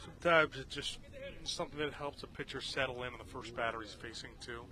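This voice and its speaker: A man's voice: medium pitch, fast. A man giving conversational analysis as color commentator on a baseball broadcast. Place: outdoors at a baseball game. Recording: ballpark crowd noise behind. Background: crowd.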